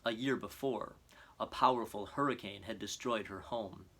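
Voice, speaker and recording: A man presenting and reading a children's storybook aloud in an earnest, expressive, measured way. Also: lowish voice, slightly rough. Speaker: storybook reader.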